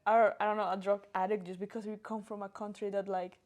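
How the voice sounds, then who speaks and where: A young woman with a Colombian accent chatting casually as a podcast co-host, animated and expressive, with lots of "like". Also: mid-range voice, fast talker. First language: Spanish. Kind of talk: casual chat, personal anecdotes.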